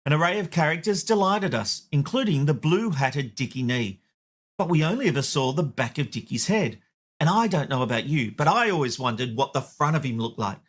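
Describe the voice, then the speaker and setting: A man's voice: a little high, lively intonation, brisk. A man with an Australian accent hosting a media commentary show, wry and opinionated. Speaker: host.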